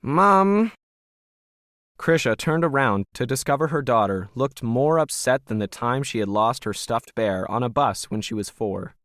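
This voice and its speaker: A man narrates a scripted science fiction story. His voice sits at a medium pitch, and the recording is dry with little room sound. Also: moderate pace, few pauses, no echo.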